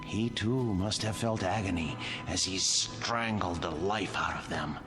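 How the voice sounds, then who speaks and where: An actor playing a devoted, intense museum curator in an audio drama, precise, polite and theatrical, with music underneath. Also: male voice, deep voice, measured pace. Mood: macabre, theatrical. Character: devoted, brooding middle-aged male wax museum curator, deferential and intense.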